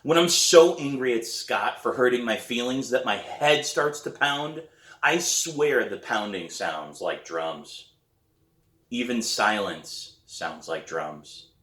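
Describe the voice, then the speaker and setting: A man reading a novel aloud as its author, with expressive, deliberate and dramatic storybook narration. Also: deep voice, reverberant.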